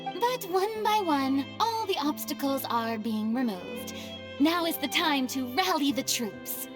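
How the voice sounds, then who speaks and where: A woman playing a vain, easily flustered young stage celebrity in a video game, with a dramatic, haughty, deliberate delivery. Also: high voice, animated. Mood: flattered, flustered. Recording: music behind the voice.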